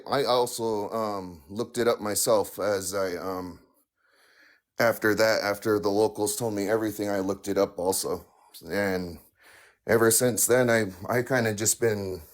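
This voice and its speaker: Raspy guy telling a spooky story, real slow, lots of ums. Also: on the low side. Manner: halting, many fillers and restarts. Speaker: caller sharing a paranormal story. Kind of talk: hesitant casual storytelling.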